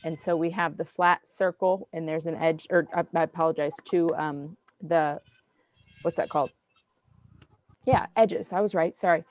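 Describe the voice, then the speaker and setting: A woman's voice: low-pitched, steady tone, normal speed, a little mellow, no room sound. A woman teaching an online math class, counting through problems step by step. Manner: patient, step-by-step counting aloud. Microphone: video call.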